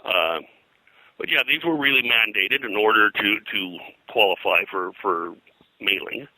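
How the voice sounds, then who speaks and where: An older man talking in a rambling interview style, halting and digressive as a guest historian. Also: fairly low pitch, pushed and bright, dry recording, poor audio. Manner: halting, digressive, frequent "you know". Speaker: guest, pop culture historian.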